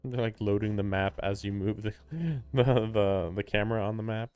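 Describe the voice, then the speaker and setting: A man's voice: fairly low pitch, flat delivery, normal speed, darkish tone, smooth. A man live-streaming a game, giving casual, muttered, laid-back reactions as he plays. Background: faint game music.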